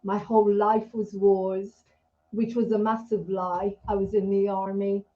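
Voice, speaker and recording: A woman's voice: medium pitch, little pitch movement, measured pace. A woman raised in Israel hosting an interview over a video call, talking in an emphatic, rambling, heartfelt way. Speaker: host. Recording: dull lo-fi video call audio.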